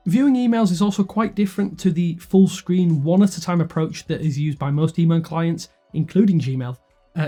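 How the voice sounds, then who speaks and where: Male review narrator, slightly high pitch, relaxed dark tone, scripted delivery, light music bed. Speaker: tech reviewer and video host. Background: background music.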